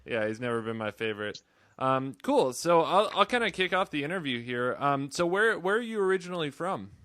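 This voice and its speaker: 30s guy hosting a podcast, smooth voice, dry mic, laid back with lots of umms. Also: a little high, brisk, no echo. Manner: relaxed, with many fillers like "you know". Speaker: podcast host. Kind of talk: casual podcast intro and interview.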